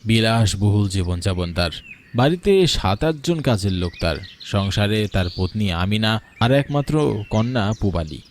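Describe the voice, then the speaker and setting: A man's voice: fairly low pitch, animated, measured pace, slight background noise. A man reading a scripted story as a dramatic narrator.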